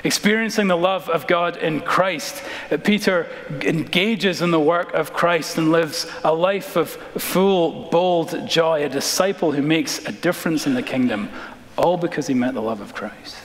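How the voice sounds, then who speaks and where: High-pitched American preacher man in echoing hall. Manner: dramatic emphatic delivery with deliberate pauses and repetition. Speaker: pastor. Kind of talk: sermon. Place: large church sanctuary, indoors, preaching from the stage. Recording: reverberant church hall.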